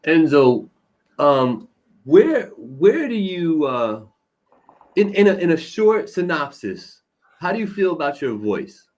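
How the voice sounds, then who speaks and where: A man's voice: fairly high pitch, very animated, slow pace, a little mellow. Encouraging slangy male talk-show host. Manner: encouraging, slangy, keeps saying "you know what I'm saying". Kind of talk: casual talk show chat.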